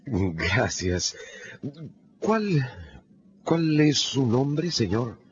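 Slow, careful gentleman banker. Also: medium pitch, big pitch swings, highs cut off, noisy background. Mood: gently probing. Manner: gentle, hesitant questioning. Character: courteous, careful English banker. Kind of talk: radio drama acting.

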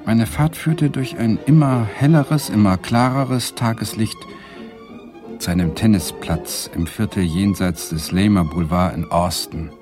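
An actor playing a lecturer who narrates fond memories in a radio drama, in a reflective, measured, nostalgic way. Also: male voice, lowish voice, music in the background, natural voice. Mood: wistful, reflective. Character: male Swedish guest lecturer fondly recalling happy days.

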